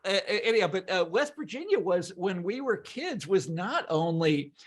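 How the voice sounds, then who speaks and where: A somewhat high-pitched American man speaking as a guest author in a conversational interview. He is animated and emphatic, stammering through repeated words as he builds his explanation. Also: normal speed. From Kansas, United States.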